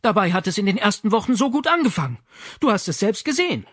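A man's voice: sits high, very animated, fast talker, fairly bright tone, slightly rough, no room sound, clean studio sound, voice pushed up, heavily acted. A man voicing an exasperated, fretful Italian mother in an audiobook, indignant and plaintive. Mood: aggrieved. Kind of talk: audiobook character acting.

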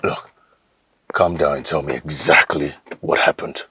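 A man acting a calm but stern father and husband in a film drama, measured and firm. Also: very deep voice, breathy edge, heavily acted. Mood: concerned, disbelieving.

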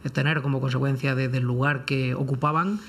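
A man's voice: medium pitch, steady tone, a little fast, a little hiss or hum. A man speaking as a lawyer on a discussion panel, in careful, formal phrases with frequent hesitations. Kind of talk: unscripted legal commentary in a round-table debate.

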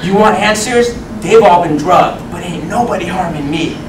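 A man's voice: high voice, normal speed, close to an everyday voice. A man voicing a conspiratorial, folksy woman inmate with secrets to tell, in a comic stage tale. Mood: secretive warning. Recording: echoey room, far camera, heavy noise.

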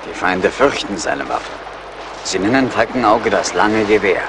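Man playing a stoic, low-voiced young warrior. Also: unhurried, lightly acted. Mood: stoic, proud. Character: proud, loyal young male Mohican warrior. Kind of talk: German radio play acting. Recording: clear battle and outdoor noise behind.

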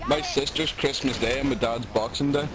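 A man's voice: medium pitch, steady tone, normal speed. A man in his twenties chatting and teasing friends over a headset while gaming. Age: 20s. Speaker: gamer. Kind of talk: casual chat while gaming.

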